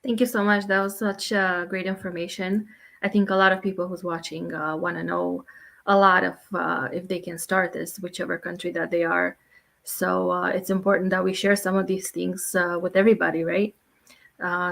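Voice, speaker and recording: A woman's voice: fairly low pitch, flat delivery, moderate pace, vocal fry, a bit bright. A woman moderating a group video call. She thanks each speaker and talks with many "uh" fillers.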